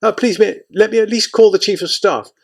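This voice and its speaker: A man doing a flustered, high-pitched army aide. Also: fairly quick, natural voice. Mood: pleading. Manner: pleading, flustered. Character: anxious fussing male army aide.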